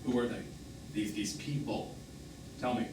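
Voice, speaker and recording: A man's voice: mid-range voice, a little slow, close to an everyday voice. An actor on stage playing a bitter, wounded man in his fifties, sarcastic and theatrical, as a jilted lover in a live drama. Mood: hurt, accusing. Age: 50s. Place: small theatre, recorded from the audience. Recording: echoey, noisy stage recording from far off.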